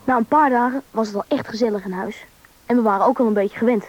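A woman's voice: on the high side, quick pace, a little hiss or hum, natural voice. A woman playing a young child who narrates an adventure in a radio drama, in plain storytelling style. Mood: reflective storytelling. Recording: dull, muffled old radio recording.

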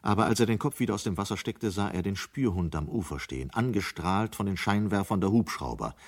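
A man's voice: fairly low pitch, expressive, a little fast. A man narrating a scripted radio play in German as its storyteller.

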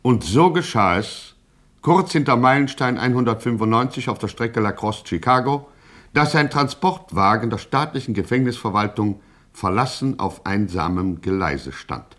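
A man's voice: mid-range voice, expressive, moderate pace, a bit bright. Mock-heroic male radio narrator. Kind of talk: dramatic scripted narration of a satirical radio play.

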